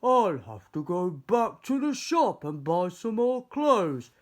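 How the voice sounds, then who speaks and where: Man playing an emphatic, kind-hearted giant, pitched up. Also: big pitch swings, slow pace, full character voice. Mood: dismayed. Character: cheerful, kind-hearted young male giant.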